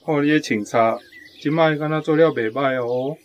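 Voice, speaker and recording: A man's voice: on the high side, normal speed, lo-fi sound, natural voice. A man playing a friendly village uncle in a radio drama, speaking with a Taiwanese accent. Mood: friendly, curious.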